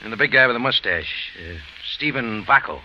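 An actor playing a tough, wry American hero who narrates and talks slang in an old-time radio detective drama. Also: male voice, mid-range voice, expressive, normal speed, close to an everyday voice. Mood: wry, probing. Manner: hard-boiled, wry, slangy. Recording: lo-fi old radio recording with music.

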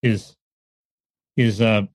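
A man's voice: fairly low pitch, steady tone, extremely slow, no room sound, studio quality. A man co-hosting a casual political chat, wry and joking, laughing between points. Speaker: podcast co-host.

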